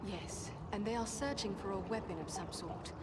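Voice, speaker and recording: A woman's voice: medium pitch, normal speed, heavy background noise, natural voice. A woman playing an earnest, resolute healer and adventurer in a fantasy video game, with poised, formal delivery. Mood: concerned.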